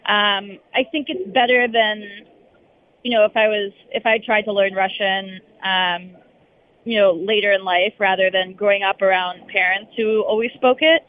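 A woman's voice: medium pitch, fairly even intonation, moderate pace. A young woman, a journalist, answering interview questions in a hesitant, rambling way with lots of hedging. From United States. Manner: hesitant and rambling, full of hedges. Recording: tinny phone-line sound.

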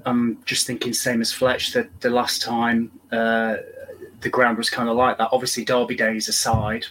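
Croaky-voiced enthusiastic male fan. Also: mid-range voice, sharp tone, slight background noise, rough recording. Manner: enthusiastic, rambling. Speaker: fan guest. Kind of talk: casual podcast chat.

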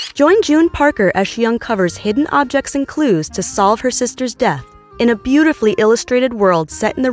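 A woman performs a dramatic, scripted advertising voice-over. Her voice is fairly high and animated, with faint music underneath. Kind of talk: scripted game commercial, dramatic.

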